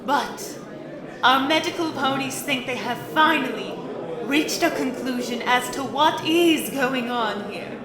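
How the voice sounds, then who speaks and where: A woman playing a solemn female pony leader in an audio drama, making a halting, grave address. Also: sits high. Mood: grave, regretful. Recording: echoey hall with crowd.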